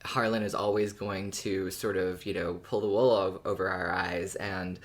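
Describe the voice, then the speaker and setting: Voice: low voice, steady tone, normal speed. A podcast panelist in casual discussion, explaining thoughts in long, winding sentences.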